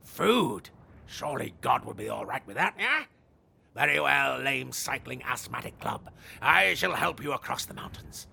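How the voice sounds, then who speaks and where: An actor playing a blunt, reformed evil knight who talks brashly and turns awkward and hesitant. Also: male voice, mid-range voice, very animated, normal speed, creaky, sharp tone, slightly rough, full character voice. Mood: grudging. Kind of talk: comedy audio drama acting.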